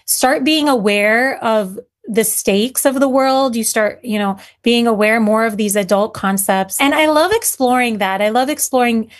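A woman's voice: on the high side, a little slow, few pauses. A young woman author from Syria, answering interview questions earnestly with many "you know"s. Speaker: author guest.

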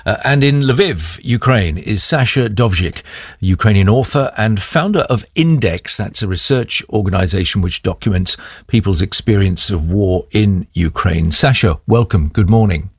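A man's voice: fairly low pitch, lively intonation, moderate pace, breathy edge, no room sound, clean studio sound. A man with a British accent presenting a radio news magazine and introducing guests.

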